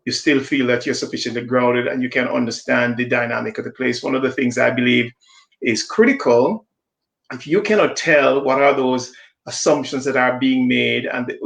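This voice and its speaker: A man's voice: mid-range voice, gravelly, a bit bright, roomy sound. A man from Jamaica, a guest on a live-stream discussion, speaking thoughtfully with frequent restarts over a video call. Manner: thoughtful, halting with many restarts. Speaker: diversity consultant guest.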